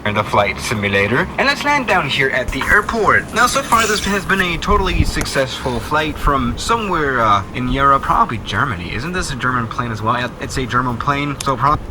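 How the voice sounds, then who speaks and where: A man's voice: medium pitch, very animated, brisk, few pauses. Upbeat man explaining a flight sim landing. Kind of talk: flight simulator tutorial narration. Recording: noisy video played back.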